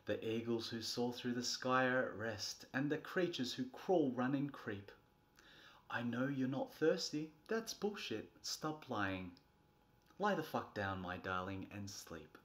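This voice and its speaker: A man in his thirties doing a comedic, theatrical storybook reading, moving between a soft bedtime tone and exasperated delivery. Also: mid-range voice, expressive, unhurried. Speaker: presenter reading a picture book. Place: small furnished nursery room indoors.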